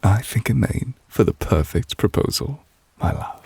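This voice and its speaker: A man playing a devoted, possessive yandere witch in an intimate ASMR roleplay, speaking softly and breathily, often near a whisper. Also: lowish voice, animated, creaky, breathy edge, no room sound, full character voice. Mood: tender. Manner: slow, tender, murmured endearments.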